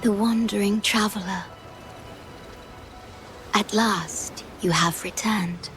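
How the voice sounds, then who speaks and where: An actress playing a serene, devoted guardian woman in a video game, speaking softly and solemnly. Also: medium pitch, slow pace, close to an everyday voice. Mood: tender, solemn. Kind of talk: scripted game character acting. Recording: music behind the voice.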